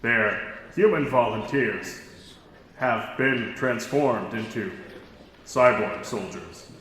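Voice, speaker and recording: A man's voice: medium pitch, slow, fairly bright tone. A man playing a polite, formal, weary starfish alien in an audio drama. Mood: formal, explaining. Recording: heavy echo and long reverb.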